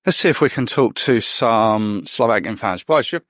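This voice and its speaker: A man from England reports on match day from a football stadium, rambling through his thoughts with plenty of ums and restarts. His voice sits at an ordinary middle pitch and comes across dry, with little room sound around it. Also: lively intonation, moderate pace, a bit bright, no room sound. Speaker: podcast host reporting from a match. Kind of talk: on-the-spot match-day commentary. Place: at a football stadium, outdoors and in the stands.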